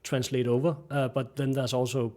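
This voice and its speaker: A man's voice: medium pitch, flat delivery, darkish tone. Danish-accented man on a video call. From Denmark. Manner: halting, many restarts and searches for words, laughs often. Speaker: guest. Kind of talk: casual interview chat. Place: bright home room.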